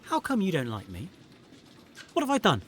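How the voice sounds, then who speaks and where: A man's voice: high-pitched, huge pitch swings, normal speed, a little hiss or hum. An actor playing a troubled, conscientious man stuck in a dead-end job, in an audio drama with first-person narration. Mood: defensive.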